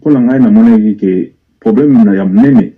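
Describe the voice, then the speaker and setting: A Congolese man calling in to a show, giving his opinion casually and haltingly, mixing languages as he goes. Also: on the low side, wildly swooping pitch, gravelly, breathy edge. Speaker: caller. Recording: muffled internet phone call.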